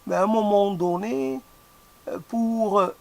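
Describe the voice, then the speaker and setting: A non-native French speaker thinks aloud in a slow, gravelly voice with a lot of vocal fry. The delivery is halting, full of pauses, fillers and restarts while searching for words. The tone is reflective, like musing in an interview. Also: medium pitch. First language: not French.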